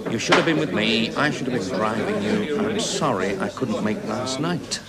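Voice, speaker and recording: An actor playing a brash, domineering research team boss in a 1970s television drama, commanding, showy and sardonic. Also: male voice, fairly low pitch, expressive, normal speed, a loud crowd, natural voice. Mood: low, concerned. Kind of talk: 1970s British TV drama acting. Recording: 1970s TV soundtrack, loud background bustle.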